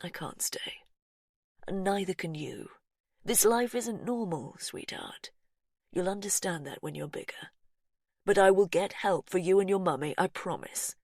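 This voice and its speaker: A woman's voice: lowish voice, animated, measured pace, a little mellow, dry recording, clean studio sound. A woman reading the lines of an anguished man pleading with his family, in an earnest, urgent manner. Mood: gentle.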